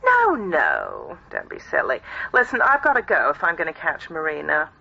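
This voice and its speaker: A flattering, evasive literary agent in a radio comedy. Her voice is gravelly yet sharp, swinging widely in pitch as she briskly persuades. The audio is a bit muffled. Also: exaggerated voice. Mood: brisk, placating. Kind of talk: radio comedy drama acting.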